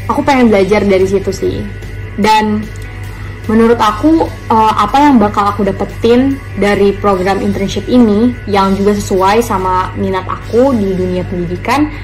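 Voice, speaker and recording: A woman speaking in a chatty, relaxed way about her internship, with casual fillers. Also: mid-range voice, low-quality recording. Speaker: former intern. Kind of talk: casual testimonial.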